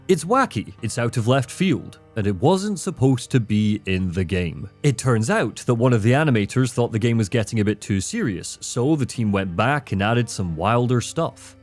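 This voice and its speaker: A man's voice: mid-range voice, darkish tone. A man giving scripted video essay narration in a wry, opinionated way.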